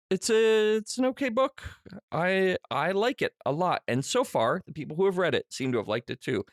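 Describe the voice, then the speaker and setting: A man's voice: medium pitch, no echo, studio quality. A man hosting a podcast solo, talking casually and informally to listeners in a self-deprecating way. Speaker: podcast host.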